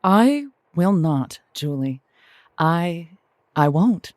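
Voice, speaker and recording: A woman acting as female call-in show host, lowish voice, close dry sound, kindly, flustered, wry, halting delivery. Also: lively intonation, a little mellow, no echo. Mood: wry, advising. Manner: halting, wry, tactful, stammering over delicate words. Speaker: radio host. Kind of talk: improvised comic call-in radio show.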